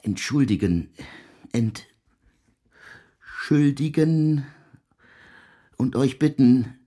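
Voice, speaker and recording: An actor playing a tormented middle-aged composer in a radio drama, speaking a halting, repetitive, solemn confession in archaic formal language, with a husky voice. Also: male voice, mid-range voice, lively intonation, warm tone. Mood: apologetic, halting. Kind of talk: German radio drama monologue.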